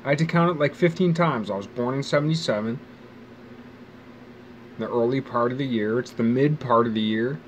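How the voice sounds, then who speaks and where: A man's voice: medium pitch, moderate pace, long pauses, lo-fi sound, slight background noise. A man in his forties rambling casually about his life in a wry, profane, musing way, speaking outdoors. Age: 40s. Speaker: vlogger. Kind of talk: rambling personal monologue. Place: outdoors near a bench.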